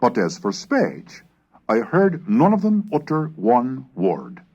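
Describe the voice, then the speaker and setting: A man reads archaic prose as a formal narrator, with pitch that rises and falls widely across each phrase. His voice sits in a middle register, and the delivery is measured and declamatory, like a scripted recitation of old chronicles. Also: wildly swooping pitch, a little mellow, breathy edge, lo-fi sound. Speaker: reader of historical texts. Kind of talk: scripted narration, dramatic reading of archaic English texts.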